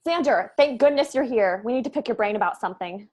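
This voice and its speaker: A woman's voice: fairly high pitch, expressive, quick pace, darkish tone. A woman playing a brainy, earnest young college student in a comedy table read. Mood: eager.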